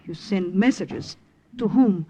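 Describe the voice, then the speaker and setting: An actress playing a brave, wary young woman recruit in a 1940s wartime radio drama, with earnest, halting, dramatic delivery. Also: medium pitch, animated, warm tone, breathy edge. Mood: hesitant, uneasy. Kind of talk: 1940s radio acting and first-person narration. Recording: old radio drama with music.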